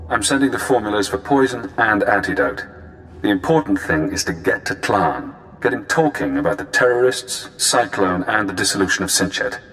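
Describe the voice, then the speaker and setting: An actor playing a cool, cunning spy handler in a video game, speaking in measured, cerebral, clipped phrases. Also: male voice, fairly low pitch, animated, creaky, fairly bright tone, a little hiss or hum, low-quality recording. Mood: calculating.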